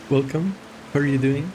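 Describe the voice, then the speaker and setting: A man's voice: on the high side, measured pace, noisy background. A man chatting casually as a game stream host, rambling and hesitant, prone to giggling. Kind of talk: casual livestream chat.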